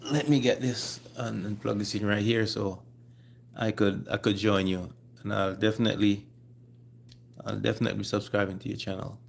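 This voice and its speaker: A man with a Jamaican accent hosting a casual live stream, speaking haltingly and repeating his phrases. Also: on the low side, fairly even intonation, gravelly, a little hiss or hum. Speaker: livestream host.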